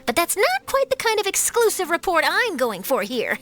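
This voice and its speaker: A woman voicing a perky, confident young female journalist with an eager, glib manner in an animated game. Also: very high-pitched, moderate pace, full character voice.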